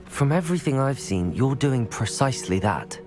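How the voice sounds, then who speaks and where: An actor playing a calm, supportive young male student in video game dialogue, speaking in an encouraging way. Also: medium pitch, moderate pace, music in the background, natural voice. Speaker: game player character.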